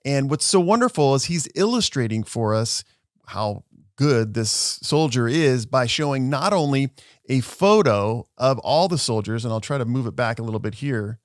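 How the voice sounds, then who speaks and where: A man's voice: mid-range voice, expressive, normal speed, no room sound, studio quality. A man who works as a social media lawyer, giving an upbeat explainer and walking viewers through video clips.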